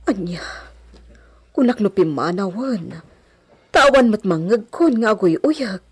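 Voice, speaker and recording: A woman playing a sympathetic village servant in a radio drama, husky, with a hushed, gossipy manner. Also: medium pitch, animated, unhurried. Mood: concerned.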